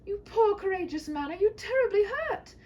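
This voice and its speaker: A sweet young princess, played by a woman reading a story, speaks high and pleadingly. She is brave but close to tears, with big swoops of pitch, in a comic storybook fairy tale. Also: expressive, moderate pace, darkish tone, highs cut off, slight background noise. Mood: alarmed. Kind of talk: humorous fairy-tale read-aloud.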